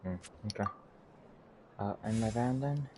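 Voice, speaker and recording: Guy w low voice mumbling to himself while gaming, noisy background. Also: unhurried. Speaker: gamer commentating on own card game play. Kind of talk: casual gameplay commentary. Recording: loud background noise, mouse clicks.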